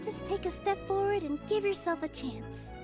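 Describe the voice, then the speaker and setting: A woman voicing a sweet, gentle young performer in a video game, earnest and reassuring. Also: very high-pitched, moderate pace. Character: sweet, encouraging, gentle young female theater dancer. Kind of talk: animated video game dialogue. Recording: music playing behind the voice.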